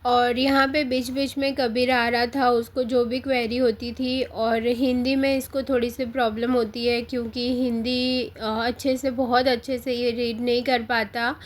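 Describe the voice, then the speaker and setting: A hoarse Indian woman in her thirties with a sore throat, narrating her vlog in a soft, unhurried voice-over with frequent pauses. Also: on the high side, level tone. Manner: soft, unhurried, pauses often with "uh", mixes English into Hindi. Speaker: vlogger. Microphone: close voice-over mic.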